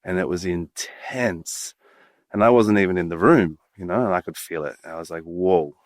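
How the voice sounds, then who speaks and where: A man's voice: deep voice, normal speed, dry recording, clean studio sound. A man speaking casually as an interviewer, chiming in conversationally.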